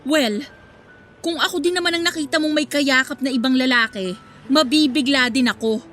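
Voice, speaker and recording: A woman's voice: sits high, normal speed, fairly bright tone. A woman acting in a radio drama as a hurt, sarcastic young girlfriend confronting her cheating boyfriend. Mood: coldly sarcastic. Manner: sarcastic, cutting. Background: faint background music.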